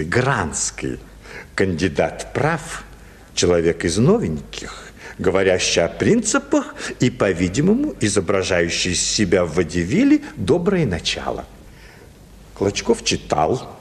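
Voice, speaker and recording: An actor narrating a comic literary story for Russian radio as a wry, expressive storyteller. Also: male voice, mid-range voice. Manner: expressive, acts out quoted phrases.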